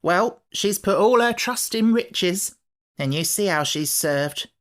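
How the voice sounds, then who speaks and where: A gossipy working-class housemaid from a children's storybook, acted out by a woman. She is darkly self-important and dramatic, with her pitch lifted. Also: lively intonation, slow pace. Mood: brisk gossip. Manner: dramatic, rustic turns of phrase.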